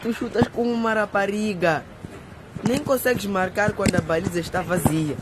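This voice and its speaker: A man plays a lively young boy in a radio drama, teasing and a little bossy. The voice is fairly high, with clear noise behind it. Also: moderate pace, natural voice. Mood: playful. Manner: teasing, playful.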